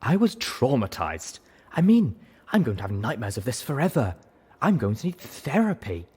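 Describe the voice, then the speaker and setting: A man's voice: mid-range voice, wildly swooping pitch, normal speed, a little mellow, breathy edge, pitched above the performer's own voice, full character voice. A man voicing a vain, casually cold pony mother in a storybook, gossipy and melodramatic in her delivery. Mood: self-pitying, gossipy.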